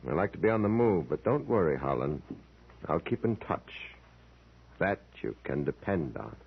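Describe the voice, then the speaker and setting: Very deep, commanding mystery man. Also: sing-song, moderate pace, gravelly, full character voice. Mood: curt, guarded. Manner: clipped, commanding. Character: curt, secretive, domineering man hiring a detective. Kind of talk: old-time radio mystery acting. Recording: muffled, noisy old radio recording.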